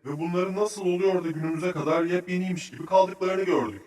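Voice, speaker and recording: A keen cartoon researcher sidekick, played by a man, with a high male voice. The manner is friendly and instructive, heard in a roomy, slightly distant sound. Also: brisk, darkish tone, natural voice. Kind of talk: children's educational cartoon acting.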